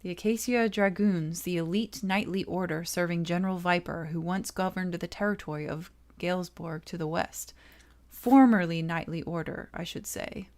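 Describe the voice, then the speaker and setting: A woman voicing a composed, scholarly companion in a video game, explaining things in a measured, formal way. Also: fairly low pitch, darkish tone, voice pushed down, lightly acted. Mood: calm, grave.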